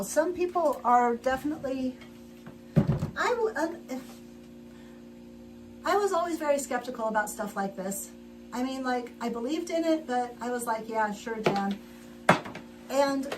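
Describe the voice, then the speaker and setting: A woman's voice: sits high, background noise. A middle-aged woman chatting casually as she sews on a livestream, rambling with frequent restarts and laughs, in her sewing room at home. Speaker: livestream host. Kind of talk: casual chat while sewing.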